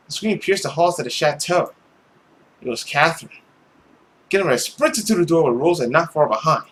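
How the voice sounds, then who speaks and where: This is a narrator performing a visual novel as a dramatic reading. The delivery is theatrical and suspenseful, with lively swings in pitch. There is clear noise in the recording. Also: normal speed. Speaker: video game playthrough narrator.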